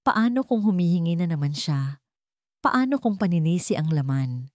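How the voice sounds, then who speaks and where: A woman's voice: fairly low pitch, big pitch swings, moderate pace, a little mellow, dry recording, studio quality. A woman voicing a wounded elderly grandfather in an audio drama, troubled and uncertain. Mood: anxious, doubtful.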